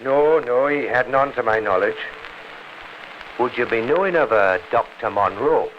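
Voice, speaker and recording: An actor playing a grudging, scheming landlord who speaks in hints, in an old radio crime drama. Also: male voice, medium pitch, measured pace, lightly acted. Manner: insinuating, hinting. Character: scheming, grudging Irish lodging-house landlord. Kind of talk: 1950s radio drama acting. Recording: muffled, hissy old 1950s radio recording.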